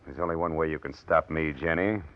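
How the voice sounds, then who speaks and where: An actor playing a menacing, smug blackmailer in an old radio drama, taunting with mock-friendly wheedling. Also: male voice, rumbling low, brisk. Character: menacing, smug male blackmailer, mockingly sweet. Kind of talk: 1940s radio drama acting. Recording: muffled old radio broadcast recording.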